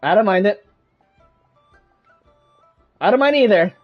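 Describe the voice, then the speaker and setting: A woman's voice: medium pitch, lively intonation, a little slow, muffled recording. A woman streaming a game with casual, chatty commentary. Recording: game music behind the voice.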